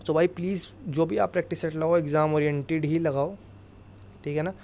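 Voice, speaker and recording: Male exam-prep presenter, slightly high voice, informal and instructive with emphatic repetition. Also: fairly even intonation, fairly quick, a little mellow, slight background noise. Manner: instructive, emphatic, repeats tag phrases. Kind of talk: informal explainer over screen recording.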